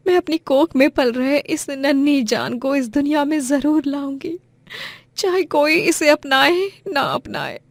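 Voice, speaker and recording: A woman's voice: sits high, a little slow, no echo, voice pushed up. A woman voicing a wronged pregnant young wife in a moral story, emotional and determined, with dramatic delivery. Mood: defiant resolve.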